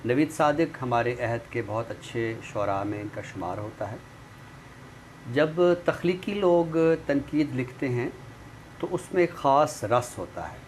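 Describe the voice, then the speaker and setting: Male literary commentator, mid-pitched, formal and measured delivery, some background noise on the recording. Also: lively intonation. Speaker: literary commentator and guest expert. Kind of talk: literary talk and book review with some poetry recital. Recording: clearly noisy background.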